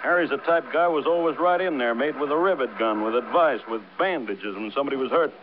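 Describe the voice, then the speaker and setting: A man's voice: medium pitch, fast talker, close to an everyday voice. An American man acting a talkative, tough construction crew boss in a vintage radio drama, with slangy, clipped, breezy tough talk. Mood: confiding. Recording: muffled, noisy vintage radio recording.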